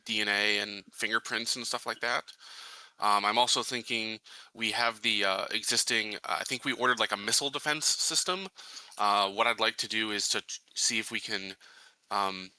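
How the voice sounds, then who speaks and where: Male tabletop player, lowish and sharply bright, dry sound, hesitant delivery with frequent searching for words. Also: steady tone, brisk, no room sound, low-quality recording. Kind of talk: casual in-character tabletop roleplay talk.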